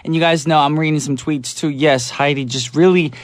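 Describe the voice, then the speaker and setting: A man's voice: fairly high pitch, fairly even intonation, brisk, no echo. A man hosting a call-in radio show, enthusiastic and chatty, saying "awesome" a lot. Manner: enthusiastic, repeats "awesome" and "alrighty". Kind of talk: upbeat radio call-in chat. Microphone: studio microphone.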